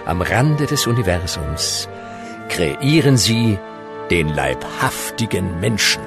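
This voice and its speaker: A man's voice: low voice, big pitch swings, takes it slowly, gravelly, over music, full character voice. A man playing a grand, dramatic narrator in a comic radio play. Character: grandly dramatic male storyteller of a comic saga.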